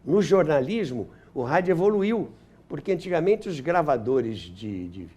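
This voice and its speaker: A man's voice: a little high, very animated, moderate pace, warm tone, breathy edge. An older man with a Brazilian accent telling anecdotes and explaining history as an interview guest, repeating phrases for emphasis.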